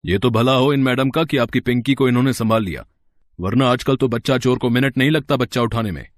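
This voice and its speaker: A man voicing a measured, courteous police inspector in an audio story. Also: medium pitch, no room sound, clean studio sound, natural voice. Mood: calm, official. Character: calm, official police inspector.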